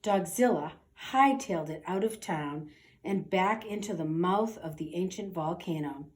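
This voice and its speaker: A woman narrating a children's story aloud in an expressive, dramatic and deliberate way. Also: on the low side. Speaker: storybook reader.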